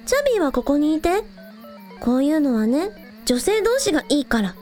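ラジオドラマで、率直で面倒見のよい元気な若いアイドルの女の子を演じる女性。. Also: female voice, high-pitched, sing-song, a little fast, a little mellow, faint music behind. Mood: brisk, decisive. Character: energetic young village idol girl, frank and caring. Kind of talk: amateur anime-like radio drama acting.

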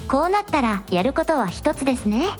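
女性が演じる高い声の丁寧な若い王女. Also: female voice, high voice, very animated, brisk, soft background music. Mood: concerned. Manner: very polite, formal honorific speech. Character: polite, supportive young princess hosting a livestream. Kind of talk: radio drama dialogue.

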